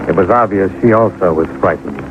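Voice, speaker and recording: An actor playing a businesslike, persistent insurance investigator in a vintage radio detective drama, clipped and matter-of-fact, narrating and questioning. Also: male voice, low voice, animated, fairly quick, music in the background. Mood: dry narration. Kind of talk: 1950s radio detective drama acting, first-person narration. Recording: noisy narrow-band old radio recording.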